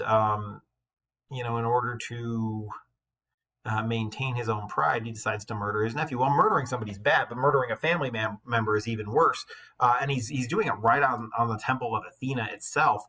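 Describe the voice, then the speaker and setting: A man with a bright, somewhat forceful voice and a husky edge to it. He speaks as a teacher, moving between expressive read-aloud storytelling and informal classroom explanation, with a conversational way of commenting as he goes. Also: medium pitch, poor audio.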